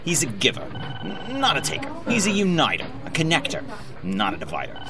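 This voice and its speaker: A man's voice: fairly high pitch, huge pitch swings, fairly quick, over music. A man playing a pushy, defensive showbiz agent in a comedic audio drama, piling up emphatic repeated phrases. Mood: emphatic, protective.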